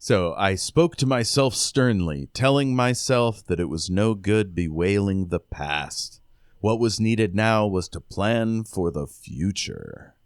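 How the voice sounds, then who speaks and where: A man with a low, clean, resonant voice co-hosts a book podcast. He recaps the story casually, paraphrasing and raising questions. The sound is dry and free of room echo. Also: animated, slow pace, clear, resonant tone. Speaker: podcast co-host recapping a novel. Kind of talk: casual chat with read-out recaps.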